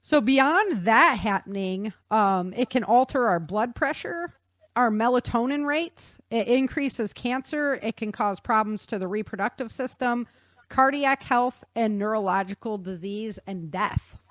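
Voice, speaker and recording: A woman explaining things in an animated, conversational way with casual slang. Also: fairly high pitch, measured pace, no room sound. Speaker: guest author explaining research.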